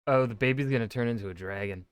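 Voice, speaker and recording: A man's voice: medium pitch, fast talker, soft background music. A man giving casual reaction commentary as a video host, exclamatory and wry, sometimes sweary.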